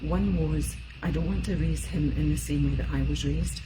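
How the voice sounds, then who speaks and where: A woman's voice: sits low, moderate pace, music in the background, rough recording. A Scottish woman in her fifties, an emotional support specialist giving a chatty, rambling live-streamed talk with many fillers. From Scotland. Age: 50s.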